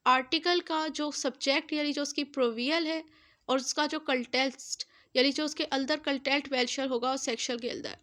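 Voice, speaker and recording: A woman with a Pakistani accent and a high-pitched voice teaches in an explanatory lecture style. She drops English terms into her explanations and restates key points so that they stick. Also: fairly bright tone. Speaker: law lecturer. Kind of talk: explanatory exam-prep law lecture.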